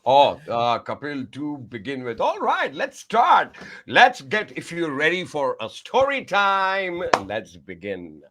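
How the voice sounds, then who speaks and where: A man's voice: a little high, takes it slowly, a little hiss or hum. Effusive Indian man, storytelling guest. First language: Tamil. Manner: effusive, animated storyteller who laughs often. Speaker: communication coach and guest. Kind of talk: remote podcast interview.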